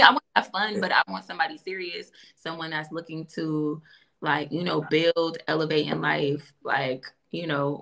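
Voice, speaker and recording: Bright-voiced woman on a video call. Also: deep voice, gravelly, rough recording. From California. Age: 30s. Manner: laughing, teasing, lively. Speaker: dating show guest. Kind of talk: casual chat on a live video call. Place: indoor room. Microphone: video call, phone or laptop.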